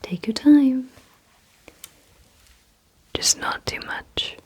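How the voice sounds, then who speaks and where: A woman whispering in a breathy, hushed ASMR roleplay style, giving deliberate instructions directly to the listener. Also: sits high. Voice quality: breathy, mostly unvoiced whisper. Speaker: ASMR performer.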